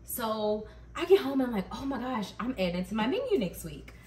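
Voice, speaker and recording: A woman's voice: fairly high pitch, big pitch swings, brisk, darkish tone. A woman in her thirties chatting to her viewers while she cooks dinner at home, telling a story and laughing often. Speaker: mom vlogger. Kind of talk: cook-with-me vlog chat. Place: home kitchen, indoors. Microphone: camera across the kitchen. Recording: echoey kitchen, camera mic at a distance.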